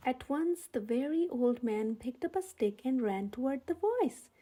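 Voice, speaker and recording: A woman narrates a children's picture book in a high, mellow voice. Her phrasing is expressive and deliberate, in the manner of a storyteller reading aloud. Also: breathy edge.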